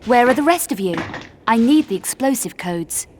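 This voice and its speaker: An actress playing a tough young female adventurer in a video game, with a British accent and a brisk, dry manner. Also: fairly high pitch, expressive, darkish tone, a little hiss or hum, close to an everyday voice. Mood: curt, insistent. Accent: British English. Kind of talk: video game cutscene acting. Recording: game cutscene audio with music.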